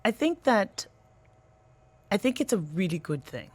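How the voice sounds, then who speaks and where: A woman's voice: medium pitch, sing-song, measured pace, breathy edge, no echo. A woman with a Canadian accent, a guest on a radio show, explaining her views earnestly with frequent restarts. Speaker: guest, youth career coach. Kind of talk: radio interview. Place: radio studio.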